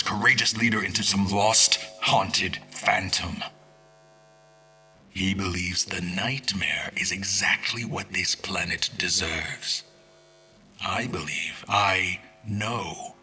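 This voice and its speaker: A man playing a brooding, grandiose schemer in a fantasy audio drama, with solemn, ornate, deliberate delivery and a husky, breathy voice. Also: low voice, bright, forceful tone, a little hiss or hum, exaggerated voice. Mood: grave conviction.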